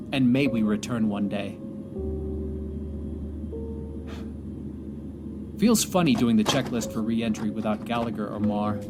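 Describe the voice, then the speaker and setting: Prominent music surrounds a stranded astronaut commander acted by a man in an audio drama. He speaks in a mid-pitched voice, solemn and measured, pausing as he reflects. He sounds resigned to danger yet holds on to hope. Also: close to an everyday voice. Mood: wistful, resigned. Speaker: narrator and lead of an audio drama. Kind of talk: dramatic first-person monologue.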